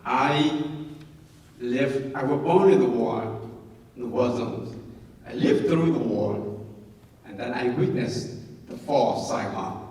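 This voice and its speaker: A man's voice: medium pitch, slow, pauses often, darkish tone. Older Vietnamese-accented man in an echoey hall. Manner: earnest, repeating words as he goes. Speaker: guest speaker. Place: large indoor hall. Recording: echoey hall, distant mic, faint noise.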